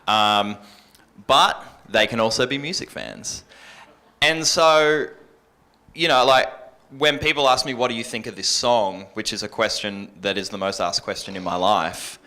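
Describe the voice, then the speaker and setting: Male radio presenter, bright and forward voice, earnest unscripted panel talk with self-corrections. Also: fairly low pitch, a little slow. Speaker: radio presenter and music programmer on an industry panel. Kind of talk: conversational panel advice.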